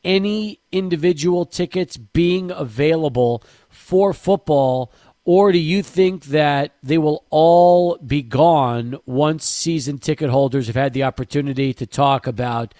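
A man's voice: on the high side, measured pace, mellow, no room sound. A man hosting a sports talk show, asking long, winding questions. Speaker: radio sports talk host. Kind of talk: interview questions.

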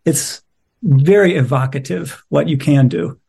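Husky, mellow, higher-pitched man. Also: unhurried. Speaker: playwright, interview guest. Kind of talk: casual, reflective interview talk.

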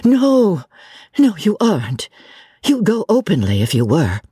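A woman reading the part of an earnest young man who speaks bluntly and haltingly. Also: medium pitch, huge pitch swings, dark tone, breathy edge, no room sound, clean studio sound, voice pushed up, heavily acted. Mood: insistent.

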